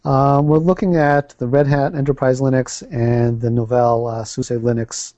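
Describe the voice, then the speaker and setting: A man answering interview questions about a product in a measured, businesslike way with frequent ums. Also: mid-range voice, little pitch movement, warm tone, dry recording, lo-fi sound. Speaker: interview guest, product spokesman.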